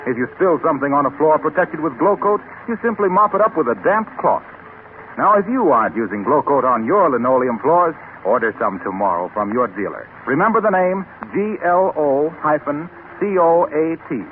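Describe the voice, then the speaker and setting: Annunciatore radiofonico anni Trenta, intonazione molto vivace. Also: male voice, lively intonation, normal speed. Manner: polished sales pitch, emphatic. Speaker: radio announcer. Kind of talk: scripted 1930s radio advertising narration. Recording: tinny, noisy 1930s radio recording.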